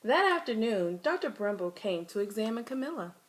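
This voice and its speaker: A woman's voice: a little high, very animated, a little fast, background noise. A woman storyteller reading a children's picture book aloud with dramatic, emphatic phrasing.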